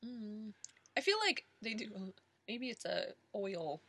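A woman's voice: medium pitch, very animated, slow, fairly bright tone, husky, no room sound. A woman co-hosting a casual podcast, playful and wry, laughing often. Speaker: podcast co-host. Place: rural home studio.

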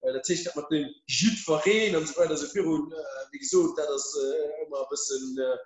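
A man hosting a casual podcast discussion, halting and full of fillers and restarts. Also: a little high. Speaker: podcast host. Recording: echoey room.

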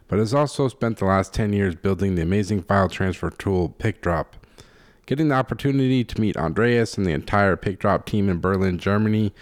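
A man's voice: on the low side, no echo, clean studio sound. A man hosting a podcast, speaking in an informal, rambling, conversational way. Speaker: podcast host.

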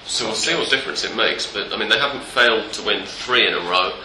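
A congested man hosts a football chat podcast, his fairly low voice bright and forceful with a stuffed-up edge. He rambles easily through fillers and restarts. The living room echoes around him, with faint noise underneath. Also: quick pace. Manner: relaxed, rambling with many fillers. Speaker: podcast host.

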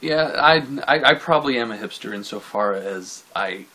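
A man's voice: on the low side, lively intonation, normal speed, sharp tone, poor audio. A man in his thirties, a musician, chatting casually as an interview guest. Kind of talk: casual chat.

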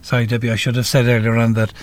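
Man, Irish accent, medium pitch, halting delivery, live panel moderator. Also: monotone. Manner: halting, repeats words. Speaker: panel moderator and project organiser. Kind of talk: live radio panel discussion.

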